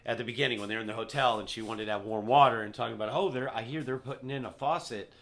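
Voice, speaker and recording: Man with a bright, creaky voice. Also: medium pitch, rapid-fire. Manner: rambling, informal, peppered with filler words. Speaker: podcast co-host. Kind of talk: casual movie review chat.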